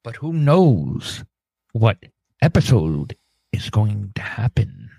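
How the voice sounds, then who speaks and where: A man's voice: fairly low pitch, sing-song, slow, gravelly, dark tone, breathy edge, dry recording. A man co-hosting a podcast in casual, comic banter. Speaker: podcast co-host.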